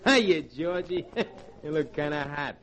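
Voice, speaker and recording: A man's voice: on the high side, measured pace, fairly bright tone, a little hiss or hum. An actor playing Eddie, a brash, wisecracking young male dancer in a 1940s radio drama. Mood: cocky. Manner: cocky, wisecracking, slangy.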